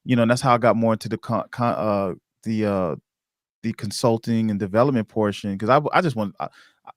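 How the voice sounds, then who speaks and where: A man's voice: lowish voice, brisk, dry recording, studio quality. An American man hosting a podcast interview, chatting casually in an enthusiastic, colloquial way. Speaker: podcast host.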